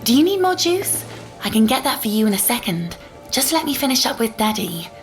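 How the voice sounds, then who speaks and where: A woman voicing a doting mother who speaks gently and coaxingly to a small child, in an audiobook story. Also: mid-range voice, normal speed, faint music behind, natural voice. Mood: sweet, coaxing. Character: doting mother soothing her small daughter.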